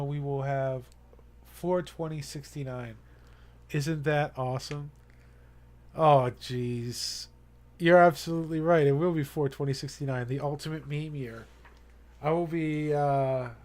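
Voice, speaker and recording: A young man talks casually to the viewers of his live stream. He rambles earnestly, stopping to restart his sentences and leaning on fillers. His voice sits at an ordinary middle pitch for a man. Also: darkish tone. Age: young adult.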